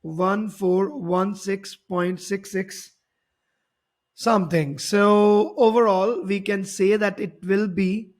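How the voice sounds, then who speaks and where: A man's voice: high voice, fairly even intonation, slow pace. A man teaching physics, explaining a worked problem step by step and often repeating and rephrasing his points.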